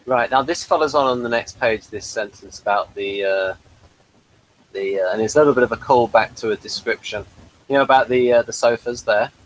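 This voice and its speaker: A man's voice: mid-range voice, fairly quick, low-quality recording. A man co-hosting a casual review chat, speaking hesitantly with many fillers. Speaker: podcast co-host.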